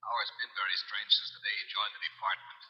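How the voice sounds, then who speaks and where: An actor in a 1950s radio suspense play, playing an impatient, dismissive doctor with a curt, brusque manner, heard down a telephone line. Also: male voice, lowish voice, level tone, fast talker. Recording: dull, distant, echoing vintage radio recording.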